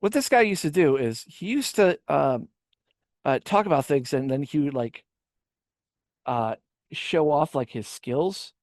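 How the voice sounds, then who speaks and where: A man's voice: fairly high pitch, animated, measured pace, long pauses, no echo. Guy host rambling and swearing, lots of restarts, dry close mic. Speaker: podcast host. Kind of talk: casual reaction chat.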